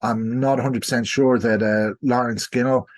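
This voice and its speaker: An Irish man talks as a guest historian on a podcast. His delivery is measured and explanatory, at an average pitch, and he qualifies his points with asides as he goes. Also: fairly even intonation.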